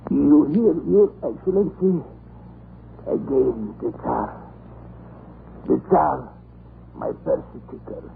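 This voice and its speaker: An actor in an old radio drama playing a broken, half-mad life convict, halting, muddled and fervent about his grievance. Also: on the high side, wildly swooping pitch, vocal fry, heavily acted. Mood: bitter, obsessed. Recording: scratchy muffled vintage radio broadcast.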